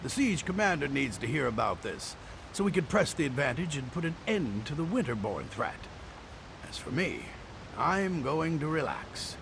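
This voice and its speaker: Creaky-voiced, wry adventuring alchemist. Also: male voice, a little high, big pitch swings, normal speed, noisy background. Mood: satisfied, wry. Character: male adventuring alchemist, rescued captive, unflappable and dryly wry. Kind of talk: video game character acting.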